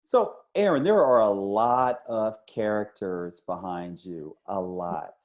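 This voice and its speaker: A man hosting a casual interview, asking questions in a halting, conversational way. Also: on the low side, lively intonation, darkish tone.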